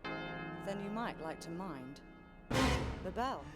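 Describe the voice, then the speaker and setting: A cool, sardonic adventurer, talking in a dry, deadpan and clipped way, with a lively rise and fall. Game music runs beneath her voice. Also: fairly low pitch, slow pace. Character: cool, sardonic female adventurer, poised and in command.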